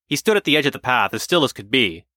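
A man's voice: mid-range voice, very animated, fast, pushed and bright, no echo, studio quality. A man voicing a cantankerous, insult-hurling pensioner in his seventies, telling his story with indignant boasting. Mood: boastful. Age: over 70.

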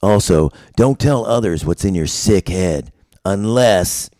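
Man playing a seedy noir drifter, self-loathing, world-weary, confessional office life coach, very low pitch, swooping intonation, comedy table read. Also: lively intonation, takes it slowly, warm tone, no echo, clean studio sound. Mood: sleazy earnest.

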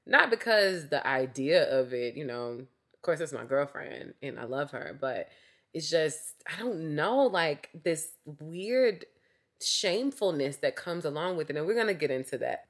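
A young woman hosting a casual solo podcast, candid and chatty, her voice slightly raspy from a cough. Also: low-pitched, big pitch swings, measured pace. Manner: candid, chatty, frequent fillers like "like" and "um". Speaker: podcast host.

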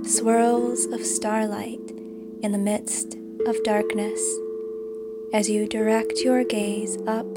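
A woman guiding a meditation as scripted narration, calm and soothing, with deliberate phrasing. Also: medium pitch. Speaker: meditation guide and podcast host. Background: music behind the voice.

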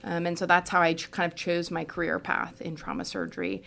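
American woman, middle-aged, low-leaning voice, gravelly fry, conversational podcast guest, reflective. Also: level tone, fairly quick, a bit bright. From Houston, United States. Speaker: podcast guest, trauma surgeon. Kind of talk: conversational personal narrative in an interview.

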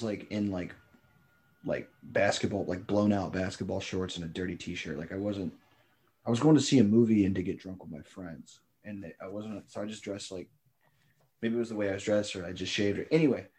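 Young dude rambling a story, lowish voice, deadpan, lots of likes. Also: male voice, normal speed. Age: young adult. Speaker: podcast co-host. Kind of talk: casual chat.

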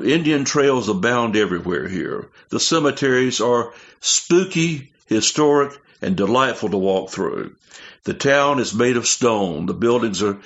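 A man gives a folksy, showman-like travel and food report for a radio show. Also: mid-range voice. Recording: dull lo-fi sound.

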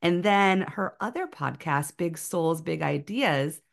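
Low, gravelly-voiced woman podcast host. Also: slow. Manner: enthusiastic, gushing. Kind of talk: scripted intro then casual interview.

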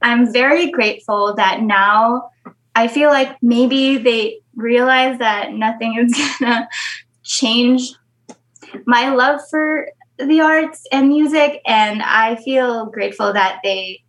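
A woman's voice: on the high side, slow pace, a bit bright, rough recording. A young woman from the Philippines, a guest talking candidly in a casual interview, with frequent laughs and a Filipino mother tongue. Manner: candid, chatty, laughs often.

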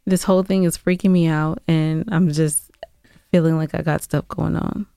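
A woman's voice: deep voice, steady tone, moderate pace, vocal fry, mellow, clean tone, dry recording, clean studio sound. A woman co-hosting a podcast in casual banter, sassy and playfully argumentative. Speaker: podcast co-host.

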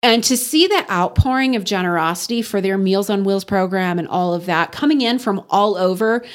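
A woman from the Texas Panhandle speaks as a guest on a radio show. She talks loudly and earnestly, and her pitch leaps up when she stresses a word. Her voice sits in an ordinary middle register. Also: moderate pace. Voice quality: loud. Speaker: interview guest. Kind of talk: radio interview conversation.

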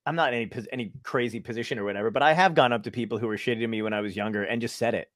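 A man in mid-range pitch chatting as a podcast host, rattling along at a brisk clip with lots of swearing and tangents. The sound is dry and close, with no room around it. He speaks loosely and laughs at himself. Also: a bit bright, no room sound. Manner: fast, profane, self-deprecating, rambling. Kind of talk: casual interview chat.

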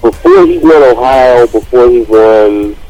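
An American man co-hosting a radio show, giving casual political commentary haltingly, often repeating himself as he searches for words. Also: mid-range voice, lively intonation, dark tone, dry recording, faint music behind, low-quality recording.

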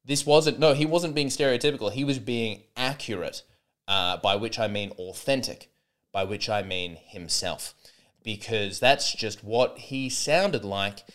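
A man's voice: fairly low pitch, a little slow, a bit bright. A young man hosting a solo comedy podcast, rambling and sarcastic with many false starts.

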